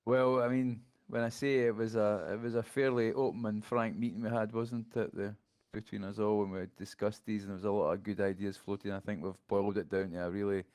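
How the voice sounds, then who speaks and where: Podcast co-host guy, deep n pretty flat, calm and easygoing. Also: a little fast, few pauses, smooth. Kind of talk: casual podcast discussion.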